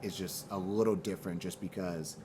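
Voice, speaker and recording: Low male voice, earnest, slangy, emphatic repetition, casual interview, clear background noise. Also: fairly even intonation, a little fast, mellow. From Boston and Nigeria. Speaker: fashion brand founder, interview guest.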